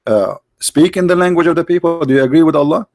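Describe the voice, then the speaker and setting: A man hosting a debate, arguing forcefully and repeating his points in broken English. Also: on the high side, animated, fast, no echo, studio quality. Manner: forceful, repetitive, nonnative English grammar. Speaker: debate host. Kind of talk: argumentative debate on a live call.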